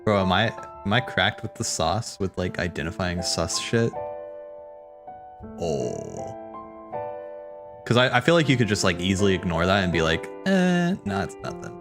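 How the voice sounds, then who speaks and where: Low-voiced man casually reacting over music. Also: very animated, normal speed, long pauses. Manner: slangy, rambling, thinking aloud. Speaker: streamer hosting a watch party. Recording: game music playing behind. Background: video game cutscene music.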